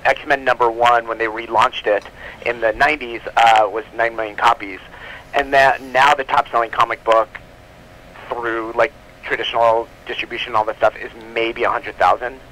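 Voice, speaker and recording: A man's voice: mid-range voice, flat delivery, normal speed, bright, forceful tone, poor audio. A man chatting casually as an interview guest, explaining his business in an informal, rambling way, often rephrasing mid-sentence. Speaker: guest, comic book publisher.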